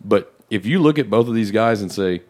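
Deep-voiced man in loose podcast banter. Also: lively intonation, a little fast, darkish tone. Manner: swears freely, laughs often, rambling. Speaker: podcast co-host. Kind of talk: casual chat.

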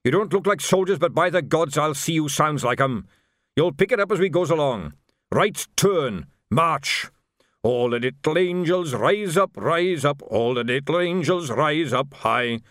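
An ageing sergeant, acted out by a man, folksy and ungrammatical, who swings widely in pitch. He is deferential to officers and cheerful about soldiering, in a close, dry storybook recording. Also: animated, moderate pace, a bit bright, no echo. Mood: loud, drilling. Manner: folksy, ungrammatical, barks at recruits. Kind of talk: audiobook character voice.

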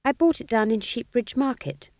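A woman's voice: a little high, expressive, moderate pace, darkish tone, no room sound, studio quality, voice pushed up. A woman voicing a timid but stubborn young witch in an audiobook, earnest, quavering and defensive. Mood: earnest, defiant. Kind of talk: audiobook character voice.

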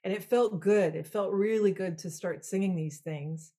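Expressive Belgian-born woman musician. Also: medium pitch, normal speed, slightly rough. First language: French. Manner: expressive, talks with her hands. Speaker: guest musician. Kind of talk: casual conversation.

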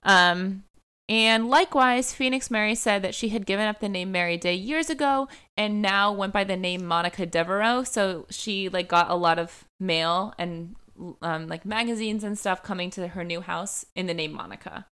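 A woman's voice: mid-range voice, moderate pace, a bit bright, clean tone. A young woman hosting a true-crime podcast, telling a case in a casual chatty way, full of "like" and "um" fillers. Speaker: podcast host.

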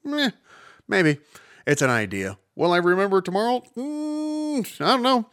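Man, solo podcast host, casual rambling chat, swears a lot, very lively pitch, dry close sound. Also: normal speed, clear, resonant tone, dry recording. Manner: rambling, profane, self-mocking, jumps between topics.

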